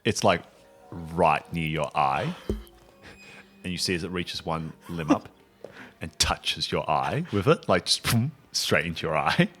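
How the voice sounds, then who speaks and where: A man improvising narration as the game master of a comedy tabletop game, in an animated, giggly manner. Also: deep voice, unhurried, faint music behind. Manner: animated, frequently breaking into laughter.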